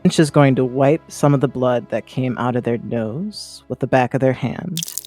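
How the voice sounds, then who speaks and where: A player calmly describing their character's actions in a tabletop game. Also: medium pitch, normal speed, soft background music.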